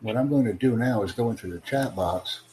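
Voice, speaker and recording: A man hosting a livestream podcast, addressing callers in a deliberate, instructive way. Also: mid-range voice. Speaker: podcast host. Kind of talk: livestream chat with online callers. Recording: clearly noisy, humming background.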